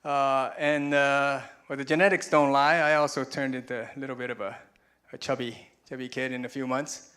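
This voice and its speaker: A man's voice: medium pitch, level tone, moderate pace, bright, forceful tone, clean tone. A man in his forties from Taiwan gives a chatty, self-deprecating conference talk with slides and chuckles at his own jokes. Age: 40s. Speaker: conference speaker. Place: stage in a conference room.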